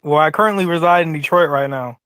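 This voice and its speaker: High-voiced taunting male caller. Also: fast talker, fairly bright tone, smooth, dry recording, clean studio sound. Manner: taunting, evasive. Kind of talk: trolling phone-in.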